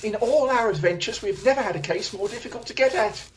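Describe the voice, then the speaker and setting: A man plays a confident, analytical detective in a radio drama. His voice sits high for a man, with deliberate, theatrical phrasing. A faint noise runs under the recording. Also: close to an everyday voice, poor audio. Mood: brisk, pensive. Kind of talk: radio play acting.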